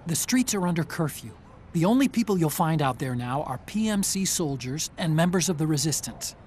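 An actor playing an earnest, brainy tech ally in a video game, giving clear, urgent mission briefings. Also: male voice, a little high, animated, a little fast, a little mellow, slight background noise, close to an everyday voice.

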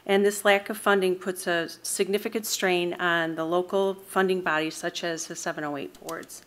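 Lower-pitched American woman reading a statement. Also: steady tone, normal speed, a bit bright. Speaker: mental health board member presenting to city council. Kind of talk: prepared public statement, partly read. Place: large council meeting room, indoors. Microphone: podium microphone.

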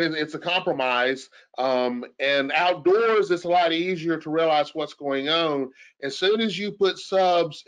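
Higher-pitched man explaining technical matters. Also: poor audio. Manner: halting, repetitive phrasing, thinking aloud. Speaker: audio engineering expert answering questions. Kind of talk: informal technical explanation in a live Q&A.

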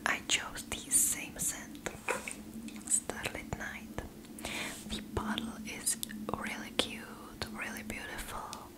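Woman in her twenties, soft breathy whisper, intimate ASMR style, close microphone. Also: slow, low-quality recording. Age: 20s. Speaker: ASMR video creator playing a roommate. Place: small indoor room.

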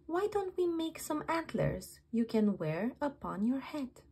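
A woman's voice: high-pitched, sing-song, measured pace, voice pushed up, exaggerated voice. Woman voicing a cheerful little elf. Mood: helpful. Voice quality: breathy, soft. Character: friendly cheerful young male elf.

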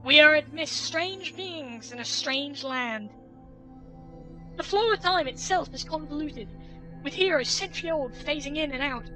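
A man reading game dialogue in a high, sing-song falsetto as an earnest, cheerful female knight who worships the sun, speaking grandly and confidently. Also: a little slow, sharp tone, soft background music, full character voice, poor audio. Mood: cheery, grand. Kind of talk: reading a game's dialogue aloud. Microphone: headset.

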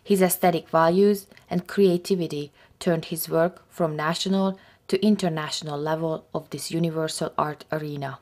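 Low-voiced woman narrating a documentary. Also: steady tone, moderate pace, darkish tone. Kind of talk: scripted narration.

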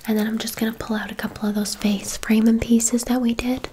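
A woman's voice: on the high side, flat delivery, a little fast, dark tone, a little hiss or hum, natural voice. A woman playing a gushing, affectionate, gossipy hairdresser in an ASMR roleplay, chatty and full of endearments. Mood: soothing, admiring. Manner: effusive, chatty, uses endearments.

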